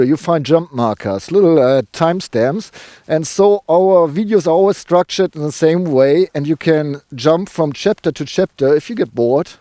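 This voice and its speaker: Male host, strong German accent, casual filler-heavy delivery, slightly high and mellow, dry sound. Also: dry recording. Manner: chatty, many fillers, self-deprecating. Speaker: car review host. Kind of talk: casual car review presentation. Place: outdoors near a river.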